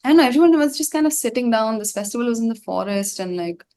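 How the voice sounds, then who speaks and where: A woman's voice: on the high side, expressive, fast talker, warm tone. A woman being interviewed as a singer, talking casually in a slangy, rambling way. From India. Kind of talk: casual chat.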